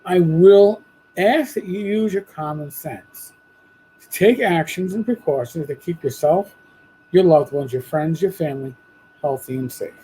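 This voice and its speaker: A man hosting a podcast, talking bluntly and casually with a hoarse voice after losing it. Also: a little high, a little slow, mellow, slight background noise, poor audio. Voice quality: husky. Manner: blunt, profane, rambling. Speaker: podcast host. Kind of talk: casual monologue.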